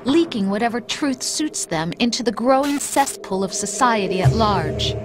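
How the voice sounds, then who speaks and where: An actress playing a calm, coldly persuasive female machine intelligence in a video game, speaking softly with a mocking edge. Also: on the high side, normal speed, natural voice. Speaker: game character. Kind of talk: video game cutscene voice acting. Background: dramatic music score.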